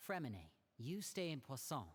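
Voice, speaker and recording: A low-voiced, commanding matriarch, calm and measured, with lively swings in her delivery as she questions and gives orders. A cool, controlled authority runs through every line. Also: slightly rough, full character voice. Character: cool, commanding adult woman, head of an orphan house. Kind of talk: game voice acting.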